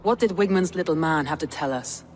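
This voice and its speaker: An actor playing a calm Viking clan leader who speaks in a measured, thoughtful way, in game audio. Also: male voice, on the high side, long reverb tail, slight background noise, close to an everyday voice. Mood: composed.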